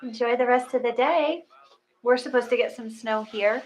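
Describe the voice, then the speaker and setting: A woman co-hosting in casual chat, speaking gently in short, unhurried remarks. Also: fairly high pitch. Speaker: co-host of live meditation sessions. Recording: noticeable background noise, room ambience.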